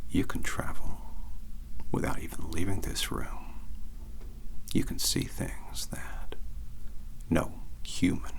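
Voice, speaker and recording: A man playing a softly threatening FBI interrogator in an ASMR roleplay, speaking in a breathy, gravelly whisper with deliberate, ominous pauses. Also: extremely low pitch, big pitch swings, exaggerated voice. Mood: cold, threatening. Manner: slow, deliberate, ominous, pausing between phrases.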